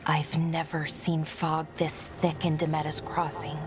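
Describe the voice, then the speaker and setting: Earnest, anxious young scout. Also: female voice, sits low, level tone, unhurried. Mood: uneasy. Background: music.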